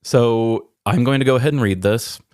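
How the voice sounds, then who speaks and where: A man's voice: mid-range voice, moderate pace, darkish tone, no room sound, studio quality. A man hosting a podcast, speaking candidly and earnestly about personal matters in a self-deprecating way. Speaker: podcast host. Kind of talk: candid personal talk, then reading a personal essay.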